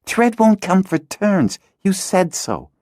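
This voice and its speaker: A frustrated young girl pleads in a high, drawn-out voice, as a male audiobook narrator puts her on. Her pitch swings wildly, leaping toward a shriek. The sound is dry and close. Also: sing-song, no room sound. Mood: exasperated.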